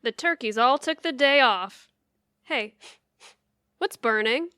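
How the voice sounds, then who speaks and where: Woman as eccentric hunter gal, blunt, cheerful, forceful bright voice, dry sound, audio comedy. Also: high-pitched, expressive, slow pace, smooth, dry recording, exaggerated voice. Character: blunt, gleefully eccentric goose-hunting woman. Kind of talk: audio theatre comedy acting.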